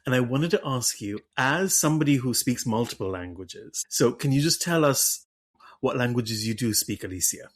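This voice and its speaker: A man hosting a podcast, talking through a book club discussion with animated enthusiasm and frequent restarts. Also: mid-range voice, normal speed. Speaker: podcast host.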